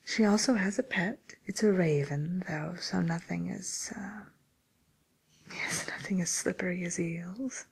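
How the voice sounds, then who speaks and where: A woman's voice: lowish voice, measured pace, exaggerated voice. Woman as a whispering, genteel villain matchmaker. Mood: musing, helpful. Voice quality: breathy whisper. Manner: hesitant, polite, murmuring to one client. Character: genteel, businesslike female matchmaker for wicked villains. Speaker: ASMR role-play performer. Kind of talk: whispered ASMR role play.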